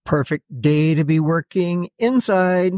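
A man's voice: on the high side, takes it slowly, darkish tone. A middle-aged or older man giving a casual, matter-of-fact product walkthrough as a video host, with a slightly wry, plain-spoken way of talking. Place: indoor garage workshop.

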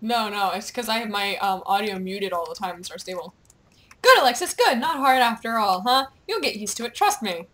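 A young woman gamer with a playful, excitable, teasing manner, chatting over gameplay and reading game dialogue aloud. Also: medium pitch, fairly quick. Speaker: gamer, video host.

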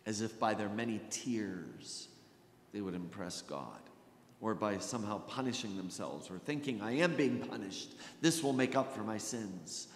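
A middle-aged American man preaching a sermon as a Lutheran pastor, with emphatic delivery and deliberate pauses. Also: on the low side. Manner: emphatic, dramatic pauses and repetition, often dropping to a hush. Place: church sanctuary at a pulpit. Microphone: gooseneck pulpit microphone. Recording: echoey church hall with background hiss.

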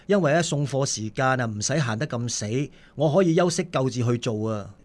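A man playing a humble Cantonese-speaking dad, mid-pitched. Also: expressive, a little fast, lightly acted. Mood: grateful. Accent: Hong Kong Cantonese. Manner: humble, self-effacing. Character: modest, bashful working-class single dad. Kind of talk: Cantonese radio drama acting.

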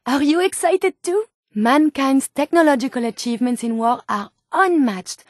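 High-voiced French robot scientist. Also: female voice, lively intonation, moderate pace, dry recording, studio quality. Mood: earnest, hopeful. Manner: precise, earnest, formal scientific phrasing. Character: eager, idealistic, curious female robot scientist. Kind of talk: scripted video game companion dialogue.

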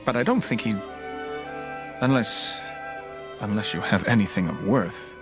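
An actor playing a gentle, bashful landowner in love in an animated Bible story, halting and earnest. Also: male voice, fairly low pitch. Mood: troubled, tender. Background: music.

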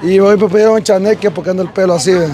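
High-voiced young Salvadoran man, rambling. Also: fairly even intonation, very fast, warm tone. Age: young adult. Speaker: interview guest, former footballer living on the street. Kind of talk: casual street interview. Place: outdoors on a city street at night. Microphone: clip-on lavalier. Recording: noisy street with passing traffic.

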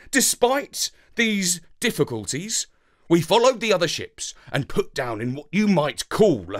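A man with a British accent narrating a children's story in a theatrical, deliberate, expressive way. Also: on the high side.

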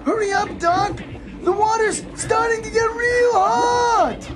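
Tiny, extremely squeaky sidekick. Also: male voice, animated, slow pace, over a noisy crowd, very noisy, heavily acted. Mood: frantic. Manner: squeaky, stammering, whiny. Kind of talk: children's audio adventure acting.

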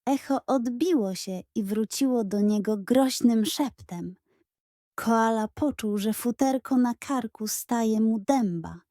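A woman storyteller reading a scripted children's bedtime story in an expressive, dramatic and suspenseful manner. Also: fairly high pitch, takes it slowly, dry recording, studio quality.

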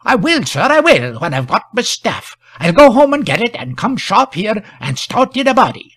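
A man puts on an elderly country constable from a storybook, high and swooping in pitch. He blusters in rustic dialect, quavering and repeating himself with flustered self-importance. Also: sing-song, moderate pace, a bit bright, husky, no echo, heavily acted. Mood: anxious, obedient. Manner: dialect speech, repetitive, blustering.

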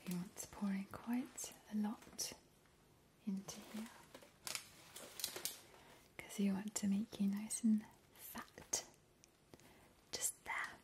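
A woman playing a sly, macabre immortal vampire who scorns mortals, whispering slowly and teasingly in an ASMR roleplay. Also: mid-range voice, flat delivery, close to an everyday voice. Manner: slow, teasing, drawn-out whispering. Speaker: ASMR host. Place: indoor kitchen.

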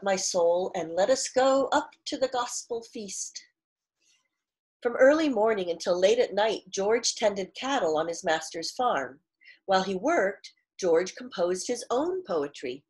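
A middle-aged woman reading a storybook aloud expressively like a teacher, deliberately stressing key words and pausing to explain. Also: mid-range voice, slightly rough. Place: small living room at home, indoors.

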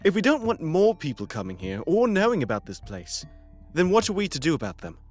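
Hesitant fantasy companion, played by a man; lighter pitch, animated, faint music underneath. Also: brisk. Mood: uneasy. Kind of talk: fantasy audio drama acting.

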